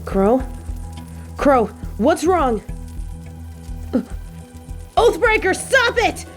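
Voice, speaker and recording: An earnest female agent, acted by a woman in an audio drama, set over clear background music. She is protective and quick to plead or swear, yet her delivery keeps a slow pace overall. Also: extremely high pitch, very animated, voice pushed up, exaggerated voice. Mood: urgent pleading. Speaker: field agent. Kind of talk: dramatic audio roleplay acting.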